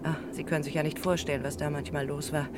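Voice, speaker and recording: Casting: actress as talkative, confiding ex-housemaid, low female voice with clear vehicle rumble behind, crime radio drama. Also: little pitch movement, fast, close to an everyday voice. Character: chatty, gossipy former housemaid reminiscing about a crime. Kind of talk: German crime radio play acting. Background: vehicle noise.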